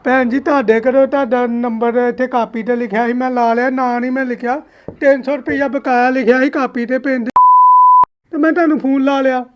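A man's voice: very high-pitched, fairly even intonation, brisk. A man with a Punjabi accent making a prank phone call as a vegetable seller, pleading and insistent. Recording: phone call with faint noise.

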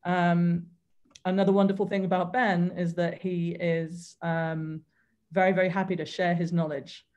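Even-toned woman hosting a seminar. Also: lowish voice, moderate pace, lo-fi sound. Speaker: seminar host introducing a speaker. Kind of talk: spoken introduction at an online seminar. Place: indoors, on a video call.

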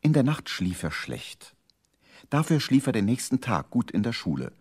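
A man's voice: medium pitch, sing-song, moderate pace, a little mellow, breathy edge. A man narrating a children's radio play as a scripted storyteller.

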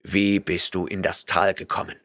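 A man's voice: low-pitched, level tone, moderate pace, a bit bright. A man voicing a burly barbarian warrior who growls and mutters his questions, impatient and menacing, in an audiobook reading. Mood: gruff.

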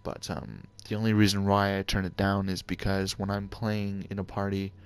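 A young man with a deep voice talking quietly and hesitantly to his viewers as a gaming channel host, with many ums and uhs. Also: normal speed, clear, resonant tone. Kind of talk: casual talk to viewers.

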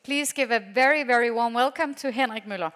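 A woman with a Danish accent, a native Danish speaker, chairing a session and making a measured stage introduction with pauses. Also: on the high side, a bit bright, a faint crowd. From Denmark. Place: large conference hall on a stage.

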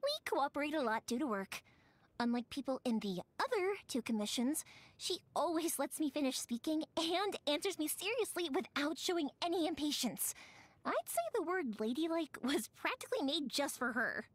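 A woman voicing a cheerful, warm-hearted young fireworks maker who tells stories in an animated, chatty way, as a video game character. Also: sits high, normal speed, no echo. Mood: fond, chatty. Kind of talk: video game character voice lines, conversational storytelling.

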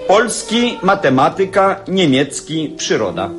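A formal gymnasium teacher in a radio play. His voice is on the higher side, measured and authoritative, as if calling a register. Music plays clearly behind him. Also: sing-song. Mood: formal authority. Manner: measured dictation and roll call. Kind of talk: radio drama acting.

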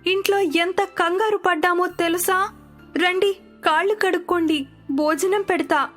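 A woman's voice: very high voice, fairly even intonation, a little slow, fairly bright tone, voice pushed up. A woman voicing an anxious, caring young village daughter-in-law in a respectful, fretting way for a story. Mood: worried, scolding. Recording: music under the voice.

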